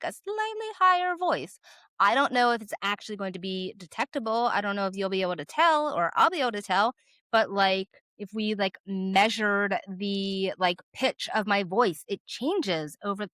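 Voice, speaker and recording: Bright, chatty young woman vlogger. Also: no echo, clean studio sound. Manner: chatty, rambling, lots of "like" fillers; animated, jumps up in pitch for emphasis. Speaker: vlogger host. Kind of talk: casual talk to camera.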